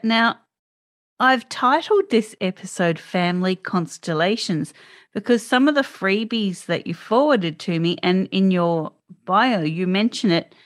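A woman's voice: medium pitch, dry recording. A woman hosting a podcast, reading her intro in an upbeat, announcer-like way and then interviewing a guest. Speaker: podcast host. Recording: dry with faint music behind.

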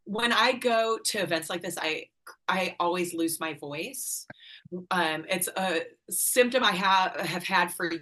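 A woman's voice: on the low side, a little slow, sharp tone. A middle-aged woman from northern Illinois, talking candidly and casually as a podcast guest, laughing often and swearing freely. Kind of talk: casual conversation.

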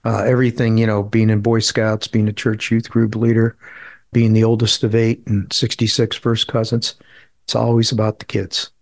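A man's voice: lowish voice, monotone, normal speed. Man, co-host, casual chat, eager.

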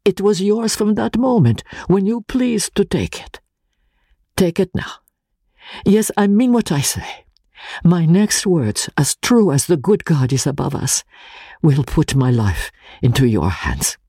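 A man voicing a little Italian man, gravely earnest, trembling and hesitant, in a dramatic audiobook reading. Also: high-pitched, big pitch swings, unhurried, warm tone, dry recording, clean studio sound, voice pushed up, full character voice. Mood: solemn, earnest.

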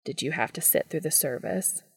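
A reserved young man with a quiet, dry wit, voiced by a woman reading a story. The tone sits low with a gravelly edge. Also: moderate pace, heavily acted. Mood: dry, wry.

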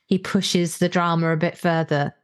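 A woman's voice: lowish voice, level tone, normal speed. A middle-aged British woman hosting a podcast, chatting conversationally as she reviews books. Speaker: podcast host. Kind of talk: conversational book review discussion.

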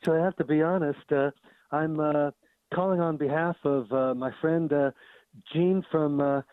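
A man calling in to a radio show comes through a tinny, narrow phone line. He talks in a hesitant, halting way, full of fillers, and his voice sits a little high. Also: steady tone, smooth, no room sound. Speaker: radio caller.

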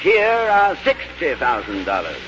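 An actor in a 1950s radio drama playing a precise, composed gentleman adventurer with a formal, decisive delivery. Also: fairly high pitch, huge pitch swings, measured pace, background noise. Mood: cool, decisive. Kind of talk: scripted first-person narration and 1950s radio drama acting. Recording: muffled old radio recording, loud music.

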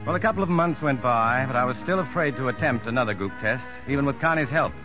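A man's voice: medium pitch, fast, lightly acted. An actor playing a shrewd, composed prison psychologist who narrates his story in a 1950s radio drama, educated and dryly skeptical. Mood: reflective narration. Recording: muffled vintage radio broadcast with music.

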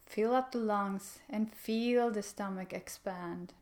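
A woman leading a guided meditation in a calm, gentle, unhurried manner with pauses. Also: medium pitch.

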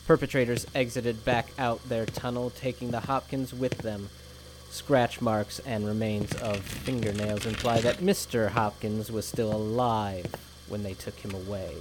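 An actor playing a cold, bureaucratic male investigator who dictates his report in a flat, clipped official manner, with a steady hum behind him. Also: fairly low pitch. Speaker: government agent. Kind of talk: audio drama acting.

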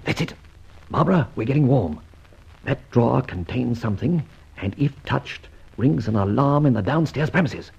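Swooping, jaunty gentleman adventurer. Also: medium pitch, animated, moderate pace, creaky, husky, exaggerated voice. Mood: intent. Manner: wry, theatrical, mock-grand phrasing. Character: suave, jaunty gentleman adventurer, playful amateur sleuth. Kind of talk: old-time radio adventure serial acting. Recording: dull, band-limited old radio recording.

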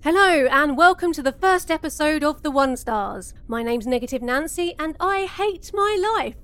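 A woman's voice: sits high, expressive, moderate pace, a bit bright. A woman playing a bitter, sardonic podcast host in an absurd comedy audio drama, jaded and mock-solemn.

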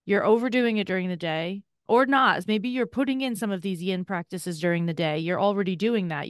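A woman's voice: lowish voice, clear, resonant tone, dry recording, studio quality. A woman in her forties, a podcast co-host and Chinese medicine practitioner, explaining in an enthusiastic, chatty way. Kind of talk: conversational explanation.

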